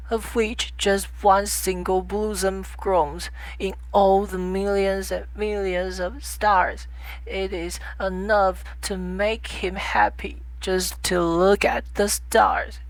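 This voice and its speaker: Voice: medium pitch, dry recording, voice pushed up, rough recording. A reader voicing an earnest, indignant little prince, a small boy, with an insistent, reproachful way of speaking in a storybook. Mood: passionate, upset. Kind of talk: storybook audiobook character voice.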